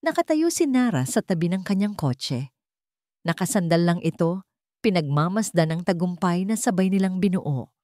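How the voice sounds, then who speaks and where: A woman narrator delivers a scripted dramatic story. Her pitch sits a little low for a woman, and the sound is dry, with hardly any room in it. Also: warm tone, dry recording.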